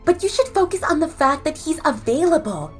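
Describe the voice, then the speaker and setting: A woman's voice: high-pitched, sing-song, brisk, soft background music. A woman playing an encouraging young female best friend in an audio romance drama, speaking in an emphatic, coaxing way. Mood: reassuring.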